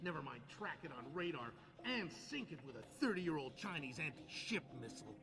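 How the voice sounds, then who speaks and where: An actor playing a hawkish, blunt military adviser with a forceful way of talking. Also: male voice, fairly high pitch, sing-song, fairly quick, creaky. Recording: game cutscene with music underneath.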